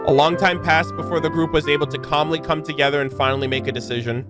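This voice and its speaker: A man's voice: a little high, fairly even intonation, fast talker, over loud music. A man streaming a game, reading its text aloud and reacting in casual slang. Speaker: streamer, host.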